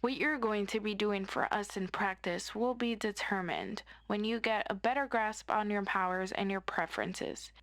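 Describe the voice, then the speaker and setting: A woman's voice: mid-range voice, animated, normal speed, few pauses, a bit bright. A woman playing a friendly superhero best friend in a scripted audio roleplay, giving an orderly, encouraging orientation lecture. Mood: instructive.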